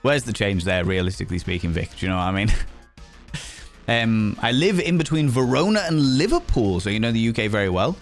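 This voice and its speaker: A man's voice: fairly low pitch, expressive, brisk, faint music behind. Cheeky Yorkshire man chatting with viewers. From Yorkshire, England. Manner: cheeky, teasing, swears freely, greets chat warmly. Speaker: live streamer. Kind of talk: casual live-stream chat.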